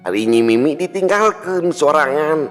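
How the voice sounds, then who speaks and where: A man's voice: a little high, expressive, moderate pace, voice pushed up, close to an everyday voice. A man with a Sundanese accent voicing a worried, humble old village father in a folk tale. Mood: anxious recounting. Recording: long hall reverb with music.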